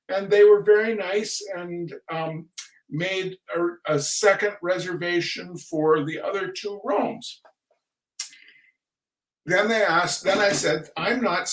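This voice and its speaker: Male livestream host, slightly high voice, fretful and self-mocking, wandering chat, recorded off-mic in a roomy space. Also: fairly bright tone. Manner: rambling, self-deprecating, fretful and digressive. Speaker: host of a livestreamed reading night. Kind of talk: casual rambling livestream chat. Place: indoor room at a computer. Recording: roomy echo, distant microphone.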